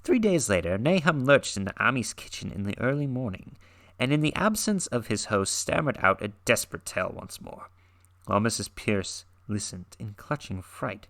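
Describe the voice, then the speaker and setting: A man giving a dramatic reading of a classic horror story, swinging his loudness and pace for suspense and now and then stumbling and correcting himself. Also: mid-range voice, sing-song, normal speed, gravelly. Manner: occasional stumbles and self-corrections. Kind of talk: dramatic read-through of classic horror fiction.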